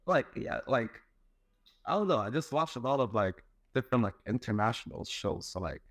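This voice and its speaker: A man's voice: medium pitch, animated, moderate pace, a bit bright, no room sound. A young man from China, chatting as a guest in a hesitant way, full of fillers. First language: Chinese. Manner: hesitant, heavy use of "like" and "um" fillers. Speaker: guest, content creator. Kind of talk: casual online interview chat.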